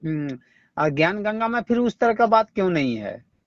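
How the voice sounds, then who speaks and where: A man in a casual phone conversation, agreeing in short affirmations and phrasing things haltingly. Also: high-pitched, very animated. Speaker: caller, fellow devotee. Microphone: phone call. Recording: dull lo-fi phone call recording.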